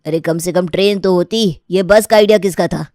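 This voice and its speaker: A woman voicing a grumbling, fussy uncle in an animated family story. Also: fairly low pitch, moderate pace, no room sound, studio quality, lightly acted. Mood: complaining.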